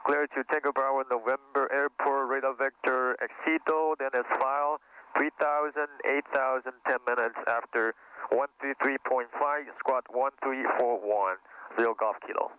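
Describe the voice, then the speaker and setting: A man's voice: mid-range voice, steady tone, moderate pace, smooth, no echo. A man making a pilot's radio calls and readbacks in procedural aviation phrasing. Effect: cockpit aviation radio. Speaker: private pilot. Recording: dull cockpit intercom and radio audio.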